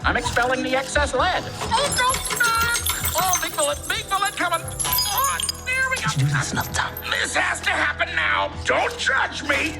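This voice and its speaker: Crude little movie robot, shrill, swoops all over, loud music under it. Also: male voice, sing-song, moderate pace, gravelly, exaggerated voice. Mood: strained, comic. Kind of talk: film comedy voice acting. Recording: loud film soundtrack behind voice.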